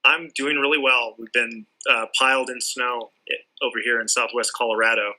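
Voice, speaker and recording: Bright-voiced man chatting casually. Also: mid-range voice, fairly even intonation, moderate pace, poor audio. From Southern California. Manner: rambling, many likes and restarts. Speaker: sculptor and art educator. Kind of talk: casual interview chat.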